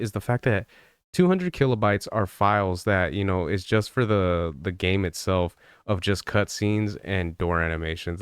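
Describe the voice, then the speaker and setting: A man with a fairly low, smooth and clean voice delivers casual gaming commentary. He sounds conversational and a little rambling, hedging his opinions. The recording is dry and close, with very little room sound. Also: no room sound. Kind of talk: casual commentary, reading an article and giving opinions.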